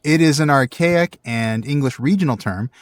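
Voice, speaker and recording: A man's voice: medium pitch, normal speed, no room sound, clean studio sound. A man co-hosting a podcast, talking casually and playfully, with a bookish bent for explaining words. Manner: playful, jokey. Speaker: podcast co-host. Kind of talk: casual banter and word-history explanation.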